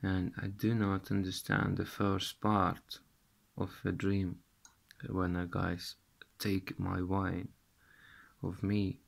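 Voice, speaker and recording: A slow-talking man in his thirties from Lithuania, his English carrying a Russian accent and a gravelly, fry-laden edge. He speaks haltingly, repeating words as he hunts for them, close to a handheld phone in a small room. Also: low-pitched, completely flat delivery. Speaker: vlogger. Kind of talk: recounting a dream to camera. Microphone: phone camera held close.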